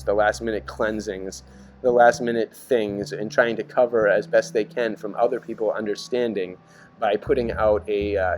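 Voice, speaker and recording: A man with a fairly low voice narrates in a casual, rambling style. He muses and speculates with a conspiratorial bent, as if thinking aloud. Soft music plays faintly behind him. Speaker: video narrator. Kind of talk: casual rambling commentary over archive images. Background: background music.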